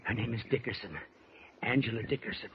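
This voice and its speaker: An actor playing a dying, injured murderer haltingly confessing in a 1940s radio drama. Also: male voice, mid-range voice, creaky, close to an everyday voice. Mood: weary, haunted. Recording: noisy, dull 1940s radio recording.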